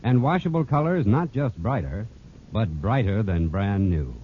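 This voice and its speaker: A man's voice: fairly low pitch, expressive, measured pace. A male radio announcer reading a scripted 1940s commercial in a persuasive, emphatic way. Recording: tinny, noisy vintage radio recording.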